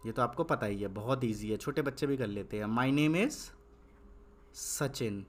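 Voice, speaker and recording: A young man giving an English lesson in Hindi. He asks questions and answers them himself, repeating points. When writing he slows to dictate one word at a time. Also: mid-range voice. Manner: repetitive, asks and answers his own questions. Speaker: English tutor.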